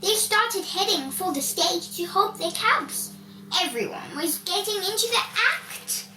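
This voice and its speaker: Female story reader, very lively intonation, unhurried, recorded from a distance with faint noise. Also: very high-pitched, reverberant. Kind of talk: scripted children's storybook narration.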